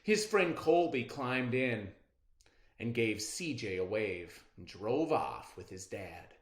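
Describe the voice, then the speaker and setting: Slow, animated storybook reader. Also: medium pitch. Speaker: read-aloud storyteller. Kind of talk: expressive children's picture book narration. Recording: roomy, echoing sound.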